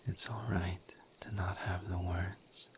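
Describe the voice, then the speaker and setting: A man voicing a gentle, patient misery demon in a breathy whisper, as an empathetic confidant in an intimate audio roleplay. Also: deep voice, steady tone, slight background noise. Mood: gentle, consoling. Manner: slow, halting, soothing, with long pauses.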